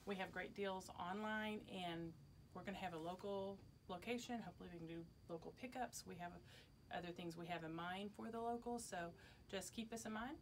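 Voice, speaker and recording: A woman's voice: mid-range voice, brisk. A middle-aged woman, a shop owner making a casual announcement to customers, recorded indoors a few steps from the camera. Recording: background noise, camera from a distance.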